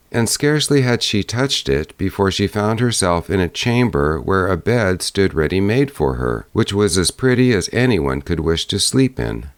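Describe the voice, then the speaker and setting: A man narrating a fairy-tale audiobook in a measured storytelling manner. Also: deep voice, smooth, dry recording.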